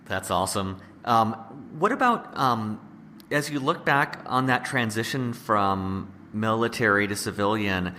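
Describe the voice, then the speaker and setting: The host of an interview podcast, a man with a fairly low voice, easing haltingly into questions over faint noise. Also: lively intonation, pushed and bright. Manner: tentative, restarts questions and gropes for words. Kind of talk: conversational interview. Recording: long reverb, faint background noise.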